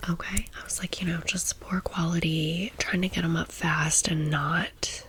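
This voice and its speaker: A woman's voice: fairly low pitch, fairly even intonation, moderate pace, fairly bright tone, husky. A woman in her thirties whispering a casual, chatty, gushing story in an ASMR style. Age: 30s. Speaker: ASMR vlogger.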